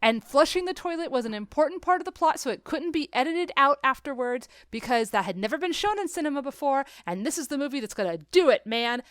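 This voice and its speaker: A woman's voice: high-pitched, lively intonation, fast, few pauses, fairly bright tone, no echo, studio quality. A woman chatting casually and opinionatedly about film, emphatic and exasperated, as a podcast co-host. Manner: emphatic, exasperated ranting with acted-out quotes.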